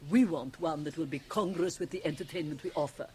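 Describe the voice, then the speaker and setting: A woman's voice: low-pitched, a little mellow, lightly acted. An actress playing an imperious, shrewd woman who runs a company, formal and persuasive in a radio drama. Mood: businesslike persuasion. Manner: formal, deliberate, coaxing. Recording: hissy 1980 radio cassette transfer.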